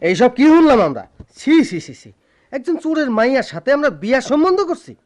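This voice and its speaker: Blustering village elder, very high voice, swooping, rural Bangladeshi accent, radio play. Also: male voice, very animated, fast talker. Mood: indignant. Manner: loud, scornful exclamations. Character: indignant, blustering elder of groom's party. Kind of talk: Bengali radio play acting.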